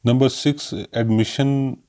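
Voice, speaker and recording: A man with a Pakistani accent giving an informal explanatory law lesson, hesitant with frequent drawn-out fillers and mixing in English terms. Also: mid-range voice, fairly even intonation, warm tone. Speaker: law lecturer.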